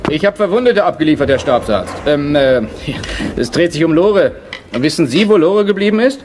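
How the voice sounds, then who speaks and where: An actor playing an anxious, lovesick German soldier in a radio drama, hesitant and pleading. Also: male voice, on the high side, lively intonation, normal speed, background noise, natural voice.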